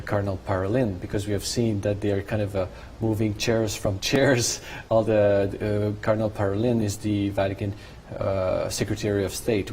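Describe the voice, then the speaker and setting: French canadian guy, native french, lower voice, doing live studio analysis, hunts for words, some background noise. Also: a little mellow. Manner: halting, searching for words. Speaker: studio commentator, French-language coverage host. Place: broadcast studio.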